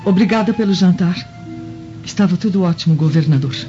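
An actress playing a gentle, tired Roman senator's wife in a radio drama, subdued and polite. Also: female voice, fairly low pitch, fairly quick, dull audio, soft background music, lightly acted. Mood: weary, polite. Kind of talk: Brazilian radio drama acting.

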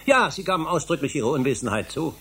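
An actor in a German radio drama playing an embittered, insistent history scholar, speaking formally and rhetorically with a husky, gravelly voice. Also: male voice, a little high, very animated, fast talker, a bit bright, heavily acted. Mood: indignant, insistent.

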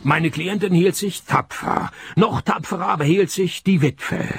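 An actor playing a dry, stubborn private detective in a radio play, with a Swiss accent and a deadpan, sardonic delivery. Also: male voice, sits high, measured pace, vocal fry, husky, no room sound, full character voice. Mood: dry, matter-of-fact. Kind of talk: radio play acting and first-person narration.

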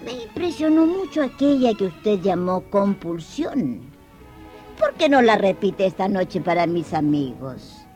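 Elegant society madame, effusive, affected, slow delivery, radio play, music clearly behind. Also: female voice, mid-range voice, very animated, a little mellow. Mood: coaxing, flattering. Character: gushing, elegant high-society hostess madame.

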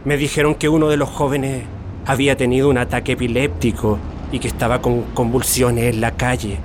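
An actor playing a courteous middle-aged Chilean father, grieving and reflective, speaking haltingly in a radio drama. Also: mid-range voice, level tone, background noise, lightly acted. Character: grieving, courteous Chilean father recalling his lost son. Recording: music bed under the voice.

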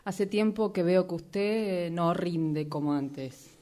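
A woman's voice: on the low side, slow, warm tone. A woman acting in a radio drama as a stern, formal boss who questions an employee curtly. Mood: stern, probing. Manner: formal, curt, probing. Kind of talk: radio drama workshop dialogue.

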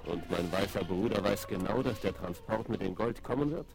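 Solemn, gravelly warrior chief. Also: male voice, on the low side, fairly quick. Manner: solemn, ceremonious, inverted word order. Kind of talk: 1970s German radio play acting. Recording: vinyl rip of 1970s radio play.

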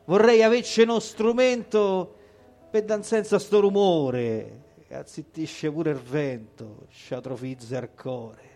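Slow, higher-pitched man reciting poetry. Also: steady tone, a little mellow, soft background music. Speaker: poetry reader. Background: musical instrument accompaniment.

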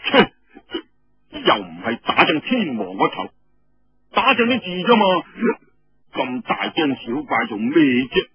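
A man's voice: fairly high pitch, very animated, measured pace, pauses often, husky, highs cut off, heavily acted. A man voicing a drunk, brash, devil-may-care young rebel warrior in Cantonese, stammering and blustering. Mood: dismissive.

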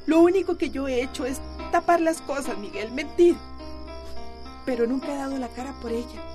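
A high-voiced Costa Rican mother in a radio drama, acted by a woman. She is anxious and remorseful, a wife finding her nerve. Faint music plays behind her. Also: moderate pace, lightly acted. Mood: defiant, remorseful. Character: Vicky, anxious remorseful mother standing up to husband. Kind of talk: radio soap opera acting.

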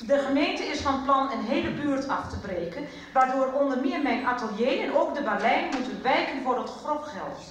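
A woman's voice: high voice, expressive, normal speed, a little hiss or hum. An actress playing a proud, defiant woman artist, making a wordy, wry speech in a radio soap. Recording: echoey hall, distant, music behind.

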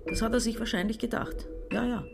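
A woman's voice: on the high side, fairly even intonation, fairly quick, gravelly, background noise. An actress playing a resentful, defiant daughter in her forties giving a statement in a radio crime play. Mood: bitter, defiant. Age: 40s. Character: resentful, defiant daughter testifying about robbing her father.

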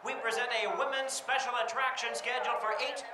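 A man's voice: very high-pitched, little pitch movement, fairly quick. A man making formal ringside announcements as a boxing ring announcer. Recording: arena recording with clear crowd noise.

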